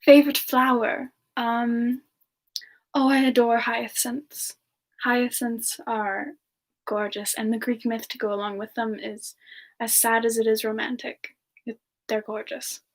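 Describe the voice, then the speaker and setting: A high, slow, sweet voice for a modest ice-powered singer in love played by a woman. She talks softly and playfully, with giggles and pet names, in the intimate style of an in-character semi-ASMR livestream. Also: level tone. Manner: soft, giggly, endearing chatter with pet names. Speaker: character streamer answering chat questions.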